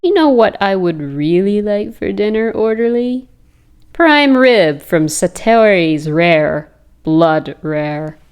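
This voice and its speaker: A woman playing a bitter former journalist turned vengeful villainess, telling her story in a theatrical, sardonic, deliberate way. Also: medium pitch, animated, darkish tone, clean tone. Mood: coolly menacing. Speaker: comic dub voice actor. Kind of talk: dramatic first-person narration. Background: background music.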